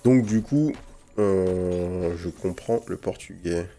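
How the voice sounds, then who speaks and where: A man's voice: low-pitched, slow pace, dark tone. A man from French Guiana giving casual first-impression commentary on a video game, in informal slang sprinkled with English gaming terms. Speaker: gaming channel host. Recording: music behind the voice. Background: game music.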